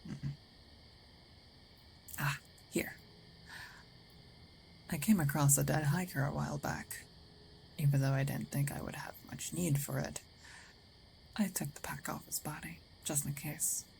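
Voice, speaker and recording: Low-voiced, gravelly female werewolf acted by a woman. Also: steady tone, measured pace, long pauses, a little mellow, slight background noise, exaggerated voice, poor audio. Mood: matter-of-fact, tending. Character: gruff female werewolf, territorial yet caring, awkward protector. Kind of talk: ASMR roleplay, soft intimate acting.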